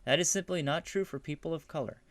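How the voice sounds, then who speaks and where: A man co-hosting a podcast, chatting casually or reading aloud in a loose, halting, slangy way. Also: a little high, no room sound. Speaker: podcast co-host.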